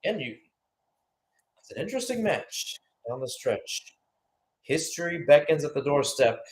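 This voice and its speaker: A man's voice: fairly high pitch, big pitch swings, unhurried, a little hiss or hum. A man giving live radio-style football commentary as the play-by-play caller, conversational and thinking aloud between bursts of calling the action.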